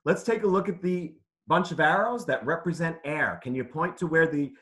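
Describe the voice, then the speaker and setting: A man's voice: on the high side, moderate pace, a bit bright. A man reading aloud to children as a teacher would, in simple phrases, putting questions to his listeners. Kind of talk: interactive children's read-aloud lesson.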